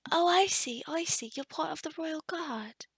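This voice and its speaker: A woman's voice: high-pitched, normal speed, no echo, studio quality. A woman playing a kind, flustered young-sounding forest witch in an ASMR roleplay, stammering and often dropping to a whisper. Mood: gentle, explaining. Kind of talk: ASMR roleplay addressed to the listener.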